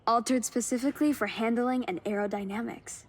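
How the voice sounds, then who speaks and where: A woman's voice: on the high side, steady tone, a little fast, close to an everyday voice. An actress playing a friendly, sincere young woman who is a car enthusiast in a video game. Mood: excited, friendly. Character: May, young enthusiastic, warm-hearted woman car hunter. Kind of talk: video game cutscene voice acting. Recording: engine and ambient noise behind. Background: vehicle sounds and ambience.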